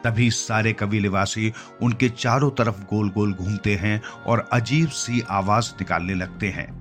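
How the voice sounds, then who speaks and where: A man with a fairly low voice tells a scripted children's fantasy tale. He is the narrator, and music plays clearly behind his words. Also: steady tone, measured pace. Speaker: story narrator. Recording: clear music bed under the voice. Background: background music.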